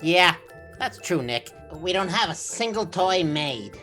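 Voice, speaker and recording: A woman's voice: fairly low pitch, animated, unhurried, fairly bright tone. A woman playing a sly, laid-back head elf in a comedy audio drama, with casual understatement. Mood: sheepish, sly. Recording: music behind the voice.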